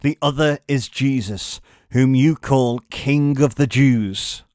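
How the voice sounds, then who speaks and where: A commanding Roman governor from a storybook, acted out by a male narrator. He speaks loudly and officially, as if proclaiming to a crowd. The sound is dry. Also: medium pitch, slow pace, no room sound, natural voice. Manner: loud, declamatory, official. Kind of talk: storybook character acting.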